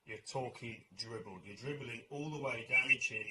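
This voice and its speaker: A man's voice: medium pitch, moderate pace, over a noisy crowd. A British man giving a mocking opinion rant as an online commentator, with a sarcastic, exasperated, informal manner.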